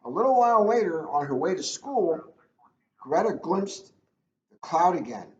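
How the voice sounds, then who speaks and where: A man's voice: high voice, big pitch swings, a little slow. A man reading a children's book aloud as a police officer, chatting and joking at his own expense in casual asides. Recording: echoey room, dull lo-fi, faint noise.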